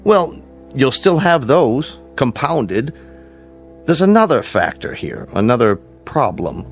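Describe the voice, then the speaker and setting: An American actor playing a grave, paternal space-program scientist in a radio drama, speaking formally, deliberately and with emphasis. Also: male voice, mid-range voice, big pitch swings. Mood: grave, instructive. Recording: music behind the voice.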